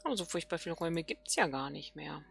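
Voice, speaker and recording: A woman's voice: low voice, expressive, fast, gravelly, a bit bright, slightly rough. A woman hosting a let's play, thinking aloud casually and murmuring questions to herself as she plays.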